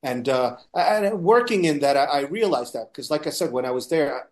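A man's voice: a little high, lively intonation, fairly quick, a little mellow. A man from the United States, a guest telling a long, rambling story in a casual talk and retelling conversations along the way.

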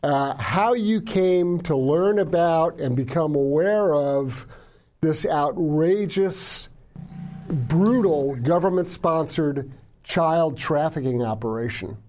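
A male host with a voice pitched a little high for a man, yet with a warm, mellow tone. He talks emphatically and conversationally, often stumbling over his first words as he starts a thought. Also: slow. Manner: emphatic, repeats words when starting a sentence. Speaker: interviewer, show host. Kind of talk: conversational interview hosting.

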